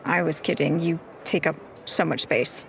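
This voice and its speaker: A woman's voice: sits low, normal speed, vocal fry, a bit bright, pitched below the performer's own voice. A woman playing a practical adventurer in a tabletop game, with a dry, teasing manner.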